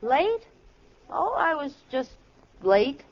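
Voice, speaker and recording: An actress playing a flighty, guilty but good-hearted housewife in 1940s radio comedy, with a coy, theatrical innocence. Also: female voice, high-pitched, very animated, slow, heavily acted. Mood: flustered, evasive. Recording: dull, noisy old radio recording.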